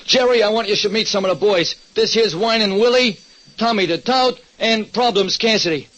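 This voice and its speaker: High-pitched New wise-guy diner owner. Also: normal speed, fairly bright tone. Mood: hearty. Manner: streetwise ungrammatical wise-guy talk. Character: Louie, excitable ex-burglar diner owner, anxious tough guy. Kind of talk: 1940s radio detective drama acting. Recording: muffled vintage radio recording.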